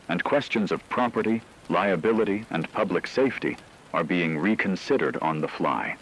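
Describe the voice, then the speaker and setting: A man playing a grave radio news co-anchor giving deliberate, formal scripted commentary during an apocalypse. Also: low-pitched, poor audio. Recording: faint rain noise behind voice.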